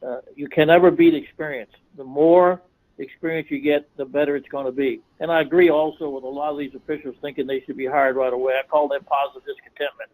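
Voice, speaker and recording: A man's voice: on the high side, fairly even intonation, fast, darkish tone, poor audio. A man answering in a group interview on a conference call, explaining his points in an instructive, emphatic way. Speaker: officiating coordinator, interview guest.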